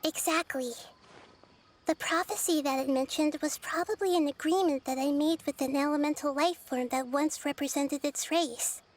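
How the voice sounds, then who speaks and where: A woman voicing a gentle, wise, childlike nature goddess in a video game, speaking in a thoughtful, measured way. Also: high voice, slight background noise, natural voice. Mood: thoughtful, concerned. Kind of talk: video game character dialogue.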